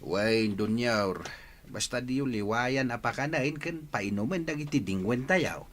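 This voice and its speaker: An actor with an Ilocano Filipino accent playing a humble, stubborn but polite older farmer in a radio drama. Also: male voice, mid-range voice, a little slow, a bit bright, a little hiss or hum, natural voice. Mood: earnest, friendly.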